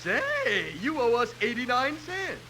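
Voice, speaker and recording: A man's voice: extremely high pitch, huge pitch swings, slow pace, exaggerated voice. A man playing a cranky, wisecracking music store clerk who sarcastically needles his customer in 1950s radio comedy style. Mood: needling. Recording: noisy old radio broadcast recording.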